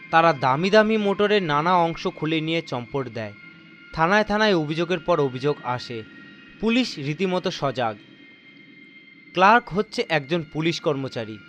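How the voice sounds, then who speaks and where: A man reading a horror story as narrator, with deliberate, theatrical, suspenseful delivery. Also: a little high, a bit bright, slight background noise.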